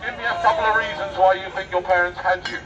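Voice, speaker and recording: A man's voice: high-pitched, steady tone, fast talker, highs cut off, music in the background. A middle-aged British man arguing in a public street debate, mocking and provocative, firing rhetorical questions through a megaphone. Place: busy outdoor city street. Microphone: megaphone picked up by a distant camera mic. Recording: megaphone outdoors, distant mic, street noise.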